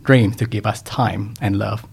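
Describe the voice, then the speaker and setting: Gravelly, husky man from Thailand. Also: lowish voice, fairly even intonation, measured pace, a little mellow. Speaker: podcast guest. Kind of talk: casual interview conversation.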